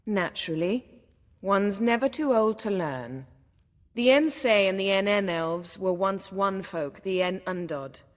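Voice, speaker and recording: An actress playing a haughty, learned sorceress in a video game, sharp-tongued and lecturing. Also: female voice, mid-range voice, measured pace, big-room sound, lightly acted. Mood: knowing, lecturing.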